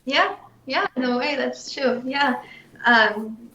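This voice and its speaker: A woman's voice: sits high, takes it slowly, a little hiss or hum. Chatty Russian-born woman, echoey dull call. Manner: animated, laughs often, many fillers. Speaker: singer and lyricist, guest. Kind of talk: casual interview.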